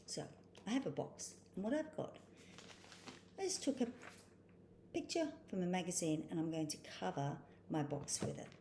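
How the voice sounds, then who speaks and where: Lady showing a kids craft step by step, casual, slightly low voice, computer mic with faint noise. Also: big pitch swings, normal speed, long pauses, roomy sound. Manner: talks through each small step. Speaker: craft activity presenter. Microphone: computer microphone.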